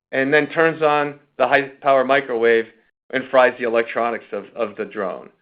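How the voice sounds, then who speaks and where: A middle-aged or older American man speaking as a company executive, giving a conversational, anecdotal, unscripted answer that halts and repeats words. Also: medium pitch. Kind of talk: unscripted spoken answer on an earnings call. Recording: narrow phone-like conference call line.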